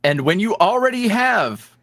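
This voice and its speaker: A man talking candidly as an interview guest about his work as an audio creator, with quick self-deprecating asides. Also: high voice, very animated, fairly bright tone, no echo, studio quality. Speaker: guest, ASMR roleplay creator. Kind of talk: casual interview chat.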